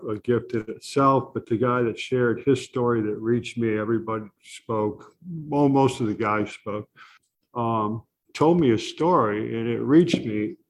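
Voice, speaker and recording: A soft-spoken man sharing his recovery story at a support meeting, halting often with pauses and fillers. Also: mid-range voice. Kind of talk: personal recovery share.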